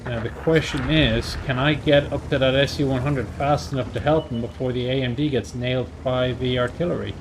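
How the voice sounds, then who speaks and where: A man's voice: medium pitch, steady tone, fairly quick, few pauses. Man commentating a game over engine noise. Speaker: host of a video game stream. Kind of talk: casual live gaming commentary. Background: video game tank engine and battle sounds.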